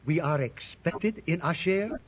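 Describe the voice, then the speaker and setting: An eager lieutenant. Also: male voice, a little high, unhurried, husky. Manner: eager and anxious. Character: excitable French army lieutenant. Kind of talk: 1930s radio drama acting. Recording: dull old 1930s radio recording.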